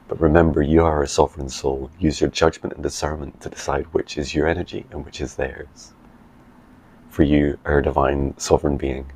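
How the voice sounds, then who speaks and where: A man, a psychic mentor, giving soft meditation messages and rambling video diary updates full of ums. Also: rumbling low, level tone, slightly rough. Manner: soft, slow affirmations; chatty with many ums in updates.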